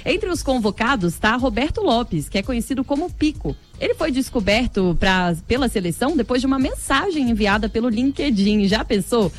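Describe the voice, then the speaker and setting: A woman co-hosting a radio news show, chatty and lively, talking straight to listeners. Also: on the high side, moderate pace, few pauses. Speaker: news co-host. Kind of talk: radio news bulletin chat. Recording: faint music bed behind.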